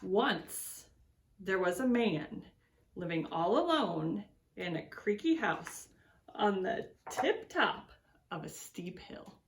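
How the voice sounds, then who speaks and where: A woman reading a picture book aloud to children as an educator, with deliberate, expressive storytelling and drawn-out words. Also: mid-range voice, slight background noise.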